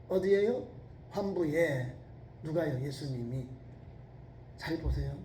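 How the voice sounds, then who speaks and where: A middle-aged pastor with a regional Korean accent preaching a sermon, asking the congregation rhetorical questions and rising to near-shouts when quoting scripture. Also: male voice, high voice. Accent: Korean regional dialect. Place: church sanctuary. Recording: echoey church sanctuary.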